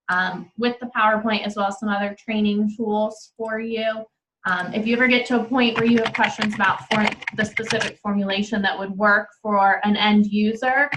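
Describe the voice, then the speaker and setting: A woman's voice: mid-range voice, fairly bright tone, slight background noise, poor audio. A woman speaking as an inside sales representative, in an informal business presentation on a conference call.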